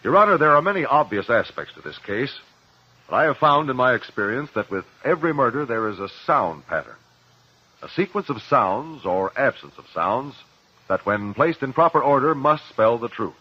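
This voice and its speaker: A man playing a sharp, probing courtroom investigator in 1950s radio drama, formal and insistent. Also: mid-range voice, big pitch swings, normal speed, slightly rough. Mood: measured, formal. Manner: insistent, formal cross-examining. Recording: muffled old radio recording.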